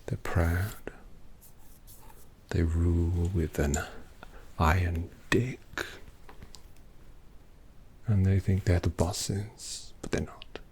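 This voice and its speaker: A man voicing a languid, possessive male vampire artist in an ASMR roleplay, talking softly and teasingly to the listener. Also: deep voice, steady tone, slight background noise, exaggerated voice. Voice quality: soft whispery, gravelly. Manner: slow, teasing, intimate address to listener.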